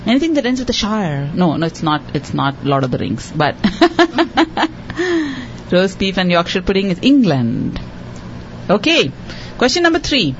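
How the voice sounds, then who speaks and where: A woman hosting a quiz show for children, repeating each question slowly and cheering the contestants on. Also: mid-range voice, sing-song, dull audio, a little hiss or hum. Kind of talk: hosting a radio quiz for kids.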